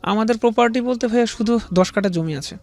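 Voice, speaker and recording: Young Bangladeshi male guest, fairly high voice, hesitant and respectful, circling back over his words as he recounts his life. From rural Bangladesh. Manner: halting, repetitive, deferential. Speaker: interview guest. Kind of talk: personal life story told in interview.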